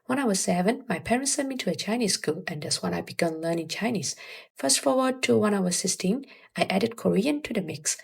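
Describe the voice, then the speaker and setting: Young woman with very creaky voice. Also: mid-range voice, expressive, fairly quick. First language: Burmese. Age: early 20s. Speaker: language-learning vlogger. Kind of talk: scripted advice narration to viewers.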